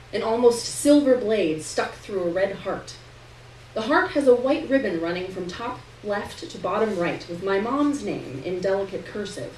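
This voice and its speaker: A woman giving an expressive first-person reading of a novel chapter at a live event, with dramatic pauses and shifting emphasis. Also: medium pitch. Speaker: reader performing a novel chapter to an audience. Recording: roomy, distant mic, faint noise.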